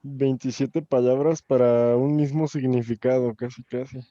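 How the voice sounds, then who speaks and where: A young man student, chatting in class in an easy, relaxed way. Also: mid-range voice, moderate pace, dry recording. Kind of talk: casual class chat. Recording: dry, dull lo-fi audio.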